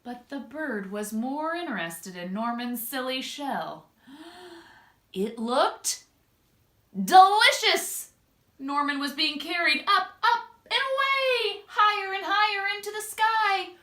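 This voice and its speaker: A woman doing an expressive children's read-aloud, drawing out words and speaking straight to young listeners. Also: high-pitched. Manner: expressive, drawn-out emphasis, addresses young listeners directly. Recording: echoey room.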